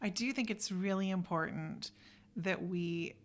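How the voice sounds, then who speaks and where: A woman's voice: lowish voice, a little slow. An American woman from Oklahoma hosting a conversational interview in an informal, reflective and encouraging way. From Oklahoma, United States. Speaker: podcast host.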